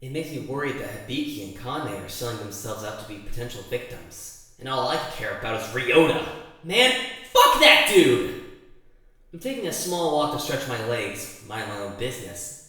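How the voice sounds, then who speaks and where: A man's voice: mid-range voice, sing-song, normal speed, pushed and bright. A man playing a blunt, grumbling young game contestant, narrating in character in a fan audio drama with informal complaints. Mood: irritated, worried. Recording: very echoey room, faint music.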